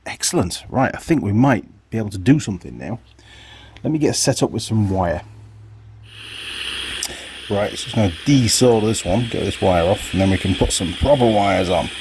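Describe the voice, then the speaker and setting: A man with a husky voice giving casual hands-on workshop commentary, muttering to himself and his viewers as he works. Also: fairly low pitch, lively intonation, normal speed, noisy background. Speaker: vlogger, hobby mechanic.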